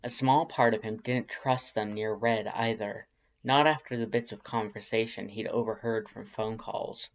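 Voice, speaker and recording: A narrator reading scripted fiction in a low-key, measured manner. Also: steady tone, highs cut off.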